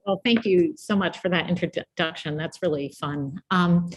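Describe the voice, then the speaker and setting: Soft-spoken woman giving a science talk. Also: fairly low pitch, moderate pace. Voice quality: quiet voice. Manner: soft-spoken, friendly, informal with fillers. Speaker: guest speaker.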